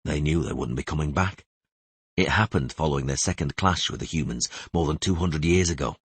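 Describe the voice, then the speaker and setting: A man's voice: extremely low pitch, brisk, husky, no echo. A man voicing a stern, guarded monster hunter and patient mentor in a fantasy audiobook. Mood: somber. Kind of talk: audiobook character voice.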